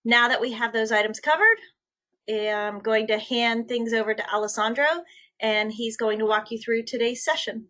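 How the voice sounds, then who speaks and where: Bright-voiced woman hosting a webinar. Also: on the high side, normal speed. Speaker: webinar host. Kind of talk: introducing a webinar.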